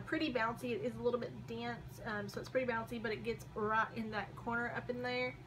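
A young woman in her twenties rambling casually through a makeup haul, her voice going hoarse. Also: fairly high pitch, noisy background. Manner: chatty, rambling, frequent likes and ums. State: losing her voice. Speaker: beauty vlogger. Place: small bedroom corner by a door.

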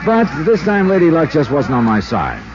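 Wisecracking 1950s radio investigator, American, clipped breezy narration, very lively intonation, dull vintage sound. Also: male voice, on the high side, normal speed. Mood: rueful narration. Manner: breezy, wry, clipped storytelling delivery. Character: wisecracking freelance insurance investigator, fond of fishing. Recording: band-limited 1950s radio recording with music.

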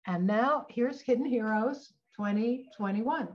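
A woman's voice: on the high side, measured pace. An older woman giving a prepared introduction as host of an event, speaking over a video call. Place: home office on a video call. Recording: dull, lo-fi video call audio.

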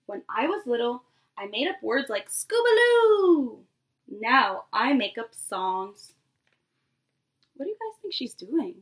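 High-pitched young woman reading to preschoolers. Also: slow. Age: 20s. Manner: expressive, addresses children directly with questions. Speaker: preschool teacher. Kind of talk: animated read-aloud to young children. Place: small bedroom, indoors.

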